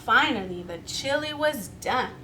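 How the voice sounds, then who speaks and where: A woman's voice: a little high, lively intonation, unhurried, pushed and bright, a little hiss or hum. A woman reading a children's story aloud expressively, inviting young listeners to join in. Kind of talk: animated children's read-aloud.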